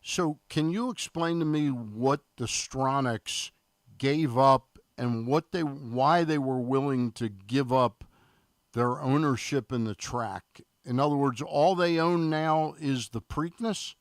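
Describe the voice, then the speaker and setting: A man's voice: mid-range voice, slow pace, no echo, studio quality. A man co-hosting a radio show, asking plain, probing questions in a casual way. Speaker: radio co-host.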